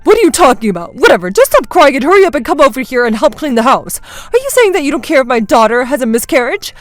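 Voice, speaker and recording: A woman's voice: sits high, big pitch swings, brisk, voice pushed up. A woman voicing an angry, bossy older mother-in-law who scolds and accuses in a narrated family story. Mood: angry, accusing. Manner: demanding, accusatory, shouted scolding.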